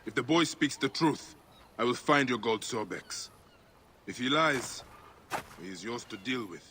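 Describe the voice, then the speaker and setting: A man playing a stern, vengeful ancient Egyptian Medjay protector in a video game, solemn and deliberate in delivery. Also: medium pitch, sharp tone, a little hiss or hum. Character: vengeful Medjay protector and grieving father, stern but tender. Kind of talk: dramatic video game acting.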